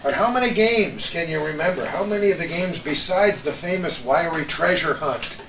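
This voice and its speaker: Male host in his sixties, higher-pitched, casual reminiscing, recorded off-mic in a roomy space. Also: moderate pace. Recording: roomy, distant mics, faint noise.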